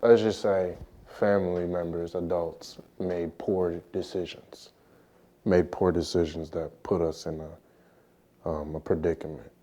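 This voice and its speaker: Man, early twenties, very deep voice, soft-edged mellow tone, unhurried, reflective, halting, personal interview. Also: level tone, dull audio. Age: early 20s. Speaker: youth who aged out of foster care.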